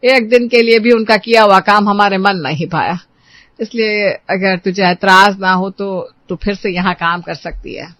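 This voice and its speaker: A woman's voice: mid-range voice, normal speed, a bit bright, lightly acted. A woman playing a bossy, exasperated mistress of a household in a story, complaining emphatically. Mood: placating, insistent.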